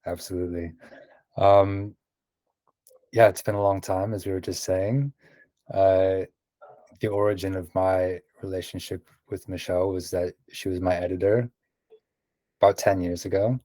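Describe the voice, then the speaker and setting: Low-voiced relaxed male interviewer. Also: normal speed, long pauses, no room sound. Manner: relaxed, hesitant with "um" and "uh". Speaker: podcast host. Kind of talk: casual interview chat.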